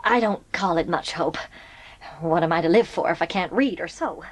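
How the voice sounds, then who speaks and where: A woman's voice: fairly low pitch, moderate pace, no room sound, natural voice. A woman playing an older, stern but loving farm spinster in an audiobook drama, plain-spoken and heartfelt. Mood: bleak, worried.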